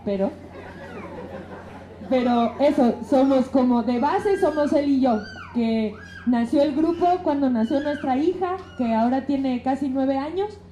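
Very mellow, high-voiced Mexico City woman. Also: unhurried. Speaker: musician being interviewed. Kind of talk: casual conversational explaining. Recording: muffled, echoey room, faint noise.

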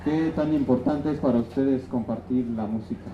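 A man's voice: medium pitch, normal speed. A man asking polite, hesitant questions as an interviewer. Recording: dull, echoey, noisy space with crowd.

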